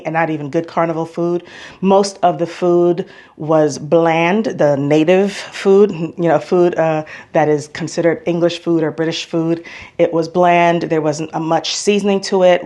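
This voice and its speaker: A woman's voice: deep voice, darkish tone. An American woman talking casually in a travel vlog, chatty and opinionated, stressing points by repeating herself. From United States. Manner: chatty, opinionated, emphatic repetition. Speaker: travel vlogger.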